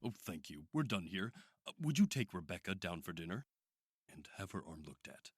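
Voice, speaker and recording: A man playing a calm, persuasive therapist in a radio drama, reassuring with a condescending edge. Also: sits low, normal speed, breathy edge, full character voice. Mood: persuasive, paternal. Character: calm, persuasive male therapist at sinister institute. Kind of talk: modern radio drama acting.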